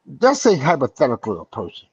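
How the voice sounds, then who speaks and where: A man's voice: mid-range voice, wildly swooping pitch, normal speed, a little mellow, breathy edge, dry recording, muffled recording. A man co-hosting a casual boxing chat who cuts in bluntly and swears freely. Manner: blunt, profane, cuts in with "hold on". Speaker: boxing podcast co-host.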